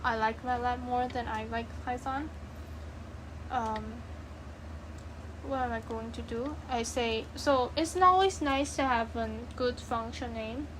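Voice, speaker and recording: A woman's voice: on the high side, measured pace, noisy background. A woman giving an informal coding tutorial, thinking aloud and answering her own questions as she goes. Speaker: programming tutorial presenter.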